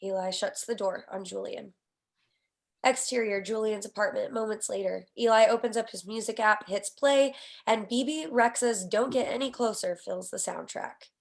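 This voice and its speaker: A woman reading stage directions at a screenplay table read, with an expressive, wry delivery. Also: mid-range voice, normal speed, vocal fry.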